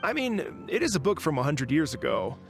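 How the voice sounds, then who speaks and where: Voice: mid-range voice, sing-song, brisk. An actor playing a flustered, obliging bookstore keeper in an anime video game, speaking hesitantly and casually. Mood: puzzled. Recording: game audio over clear background music.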